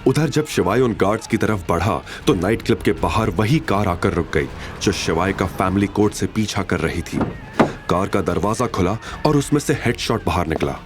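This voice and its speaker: A man's voice: low-pitched, expressive, moderate pace, background noise. A man narrating a scripted romantic action story in a dramatic storytelling style.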